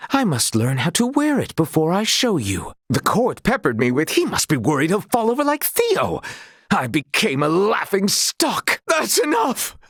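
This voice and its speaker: A man's voice: on the high side, a bit bright, dry recording, clean studio sound, exaggerated voice. A man playing a noble, confident knight officer in a video game, speaking in formal, old-fashioned courtly phrases with good humor. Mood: boisterous, loud. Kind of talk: video game character dialogue.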